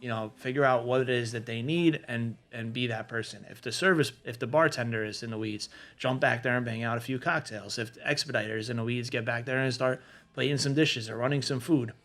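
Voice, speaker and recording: A man with a mid-pitched voice gives casual advice in a podcast discussion. He explains things conversationally, setting out his points one after another as he goes. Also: brisk, a bit bright. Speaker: podcast panelist giving restaurant management advice.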